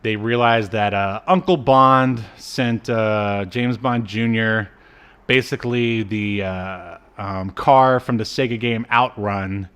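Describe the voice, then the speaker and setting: A man co-hosting a podcast in casual review chat, with a halting, rambling delivery. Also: on the low side, steady tone, a bit bright, clear, resonant tone. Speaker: podcast co-host.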